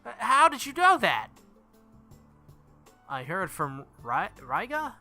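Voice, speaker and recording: A man voicing an excitable, whiny young female schoolteacher from a visual novel, stammering and indignant. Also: high voice, takes it slowly, soft background music, voice pushed up, full character voice. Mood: flustered. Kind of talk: visual novel character reading.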